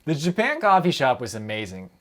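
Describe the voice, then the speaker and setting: A young man chatting casually on a live stream, rambling quickly and breaking off his own sentences as he answers viewers. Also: medium pitch, huge pitch swings, fairly bright tone. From United States. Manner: rambling, quick, self-interrupting with fillers and laughs.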